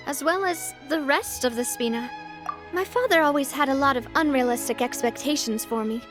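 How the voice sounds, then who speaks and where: A woman's voice: high voice, close to an everyday voice. A woman voicing a cheerful, confident young noblewoman who laughs easily and turns wistful when reflecting, in a video game. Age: young adult. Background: soft game music.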